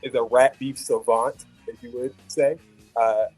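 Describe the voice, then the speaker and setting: A man's voice: medium pitch, fairly even intonation, measured pace, a little mellow, slightly rough, soft background music, rough recording. A man hosting an opinionated panel debate, blunt and needling in the way he talks. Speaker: host of a hip-hop debate show.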